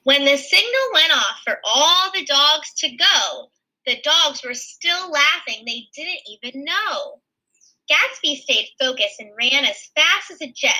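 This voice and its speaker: A woman's voice: high-pitched, big pitch swings, measured pace, bright, forceful tone, rough recording. A woman reading a children's picture book aloud expressively, adding simple explanations as a teacher would. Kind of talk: animated children's read-aloud with asides.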